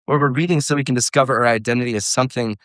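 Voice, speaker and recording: A man's voice: mid-range voice, steady tone, rapid-fire, fairly bright tone, no echo, clean studio sound. A man speaking at a homeschool workshop, lecturing parents in an earnest, reflective way and weaving in quotes and asides. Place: live workshop room.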